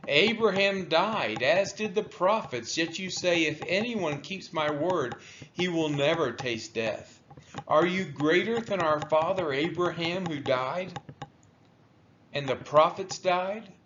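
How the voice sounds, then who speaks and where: A man reading scripture aloud in a solemn style, with deliberate, emphatic phrasing and a dull, lo-fi sound. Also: on the high side, lively intonation, background noise. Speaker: scripture reader.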